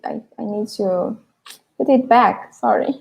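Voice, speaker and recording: A woman's voice: on the high side, measured pace, creaky, a little mellow, low-quality recording. A Brazilian woman with a Brazilian Portuguese accent, hosting a casual live class in English and talking straight to her viewers. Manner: addresses viewers directly, restarts often. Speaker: host and Portuguese teacher.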